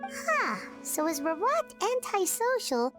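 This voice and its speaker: A woman voicing a small, childlike, curious and cheeky companion in a video game, with a squeaky voice and exclamatory delivery. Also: sing-song, slow, exaggerated voice. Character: perky small childlike female floating companion, blunt and curious. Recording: music playing behind the voice.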